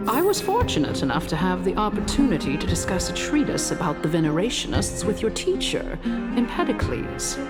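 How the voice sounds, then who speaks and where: A scheming noblewoman from a fantasy game. She speaks in a somewhat low register, poised and courtly with theatrical politeness. Loud music runs behind her voice. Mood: smooth, sly. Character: silky, scheming noblewoman council leader. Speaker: voice actor. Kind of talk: scripted fantasy game dialogue.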